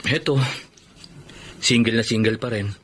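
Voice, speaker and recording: An actor in a Filipino radio drama playing a lovelorn seaman and gentle suitor, speaking earnestly and wistfully. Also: mid-range voice, measured pace, slight background noise, close to an everyday voice. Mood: shy, friendly.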